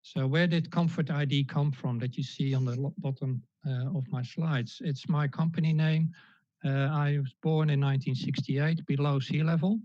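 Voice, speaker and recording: A man in his fifties from the Netherlands with a Dutch accent, giving an online presentation with many pauses, fillers and restarts. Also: fairly high pitch, fairly even intonation, dark tone, dry recording. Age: 50s. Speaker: guest speaker. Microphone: video call. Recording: dull lo-fi call audio.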